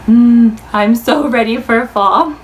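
A woman's voice: on the high side, takes it slowly. An American woman in her twenties chatting informally to camera as a vlogger, saying "like" often. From Indiana, United States. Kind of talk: casual chat to camera. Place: small room indoors, plain wall. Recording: clearly audible background room noise.

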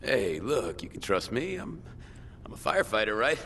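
An actor playing a weary, irritable firefighter who survived a crash in a video game. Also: male voice, on the low side, very animated, moderate pace, creaky. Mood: pleading. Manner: swearing, exasperated. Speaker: player character in a zombie game. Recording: faint background noise and game ambience.

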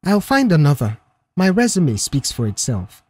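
Audiobook role: reserved young male mechanic, measured and matter-of-fact, husky, read by a narrator. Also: mid-range voice, very animated, a little mellow, dry recording. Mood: reassuring, firm.

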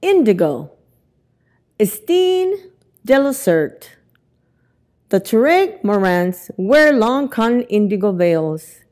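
A middle-aged woman reading a children's picture book aloud at storytime, expressive and deliberate, as if to young children. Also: medium pitch. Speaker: library storytime reader. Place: indoors in a library, among bookshelves. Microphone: lapel mic.

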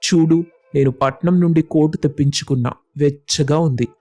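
A man's voice: a little high, fairly even intonation, moderate pace, dark tone, lightly acted. A man playing a frank villager in a moral story, chiding his friend. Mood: teasing, chiding. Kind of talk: animated storybook dialogue.

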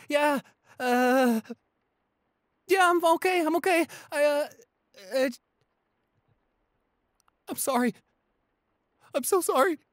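Man acting a distraught, high-pitched anxious boyfriend. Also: no room sound, clean studio sound. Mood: tearful, apologetic. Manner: stammering, frantically repeating himself. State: distraught, tearful. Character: shy, anxious, self-blaming young boyfriend in panic. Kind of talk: amateur boyfriend roleplay audio drama.

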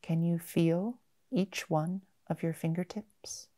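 A woman guiding a meditation in a gentle, measured manner, with long pauses between short phrases. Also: on the low side, steady tone. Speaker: mindfulness meditation guide. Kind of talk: guided body-scan meditation.

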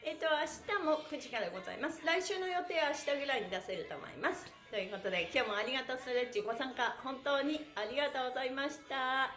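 Bubbly woman instructor, high lively voice. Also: fast talker, few pauses, soft background music. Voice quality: bubbly, chants counts cheerfully. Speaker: stretch instructor. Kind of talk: live-streamed stretch class. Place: small indoor studio room. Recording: echoey room.

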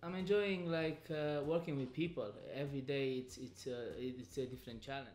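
A man in his thirties who leads a sales team, speaking haltingly with non-native grammar and repeated words. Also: mid-range voice, steady tone, a little mellow, echoey room, faint music behind.

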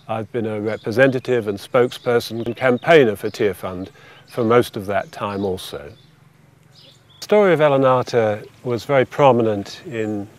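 An older man, a retired campaigner, speaking in an earnest, measured way in a documentary interview. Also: mid-range voice. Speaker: debt campaigner.

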